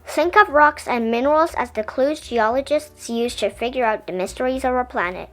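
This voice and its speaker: A woman reading a children's science picture book aloud in an expressive, explanatory storytelling way. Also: fairly high pitch, moderate pace, faint music behind.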